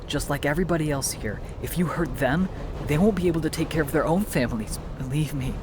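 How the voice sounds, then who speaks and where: A man's voice: on the high side, fast, lightly acted. Man playing an anxious stranger over train noise. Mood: earnest, worried. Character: anxious, earnest male stranger pleading on subway. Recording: noisy subway train ambience.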